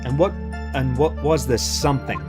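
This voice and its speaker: Scottish dude as an earnest young defense lawyer, game music under. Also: male voice, mid-range voice, normal speed, lightly acted.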